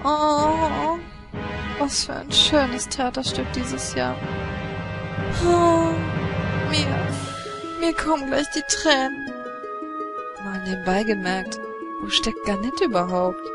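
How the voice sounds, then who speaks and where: A woman's voice: sits high, takes it slowly, pauses often, loud music behind the voice. A woman playing a gushing, affected queen in an amateur audio drama. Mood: delighted.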